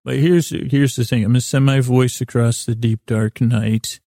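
A man hosting a sleep podcast, rambling through a whimsical bedtime monologue in a halting way, often repeating himself and talking to himself. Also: medium pitch, fairly even intonation, warm tone, dry recording, studio quality. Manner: halting, meandering, repeats and trails off; whimsical, talks to himself in imagined dialogue. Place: inside a small closet.

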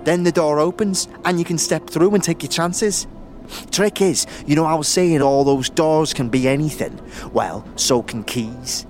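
A man's voice: on the high side, darkish tone, pitched above the performer's own voice, natural voice. Man doing a slangy young street urchin, music behind. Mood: chatty, sly. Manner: slangy, halting, chatty. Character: shifty young male street urchin guide with slangy talk. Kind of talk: audio drama acting.

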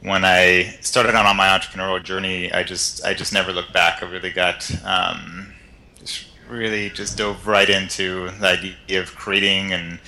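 A man who grew up in the Netherlands and California, a guest on a podcast, talking in a relaxed, hesitant way with many fillers and restarts. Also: deep voice, moderate pace, gravelly, rough recording. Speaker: guest entrepreneur. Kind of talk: casual interview.

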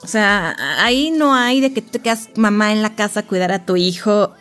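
Mexican lady in her 40s, guest on a chatty podcast, lively storyteller, faint music behind. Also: medium pitch, normal speed, clear, resonant tone. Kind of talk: casual chat.

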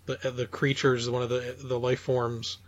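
A man co-hosting a podcast in casual conversation, haltingly repeating words as he searches for his phrasing. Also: mid-range voice, level tone. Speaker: podcast co-host. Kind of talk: casual chat reviewing audio dramas. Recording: dull, lo-fi voice recording.

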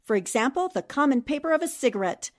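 A woman playing a high-voiced, shrewd newspaperwoman sleuth. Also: expressive, fast talker. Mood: confident, methodical. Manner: precise, methodical explaining. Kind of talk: 1940s radio mystery acting.

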